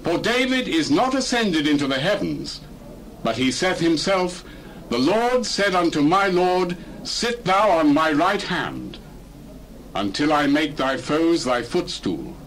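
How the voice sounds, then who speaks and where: A man's voice: fairly high pitch, big pitch swings, measured pace, a bit bright, slight background noise, rough recording. A male actor playing a bold, fervent apostle preaching solemnly and emphatically in a dramatized Bible reading. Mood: solemn proclamation. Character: Peter, fervent apostle preaching boldly to crowds.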